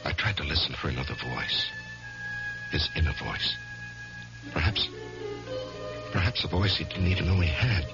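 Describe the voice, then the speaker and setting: An American actor playing an uneasy, guilt-haunted middle-aged lawyer in a 1970s radio drama. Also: male voice, very deep voice, very animated, moderate pace, creaky, long pauses, exaggerated voice. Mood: brooding, confiding. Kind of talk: 1970s radio drama acting, first-person narration and dialogue. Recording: dull vintage radio recording with music.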